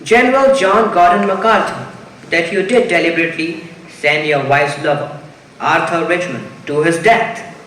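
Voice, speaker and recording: A man's voice: a little high, moderate pace, fairly bright tone, lightly acted. A man acting a stern, formal accusing voice that declaims charges in a stage mystery play. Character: stern, accusing disembodied voice reading out murder charges. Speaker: amateur stage actor in a school play. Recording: echoey hall, distant, noisy.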